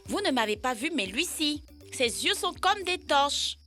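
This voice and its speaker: Animated, high-voiced cartoon child. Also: female voice, measured pace, faint music behind, exaggerated voice. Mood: amazed. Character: animated, inquisitive young child playmate. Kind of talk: educational children's cartoon dub.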